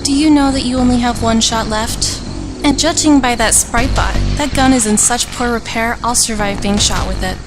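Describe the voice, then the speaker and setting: Woman playing a high-voiced, defiant young pony gunslinger. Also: moderate pace, over music, lightly acted. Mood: cool defiance. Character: young female pony survivor, defiant, bluffing gunfighter. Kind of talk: audiobook character dialogue.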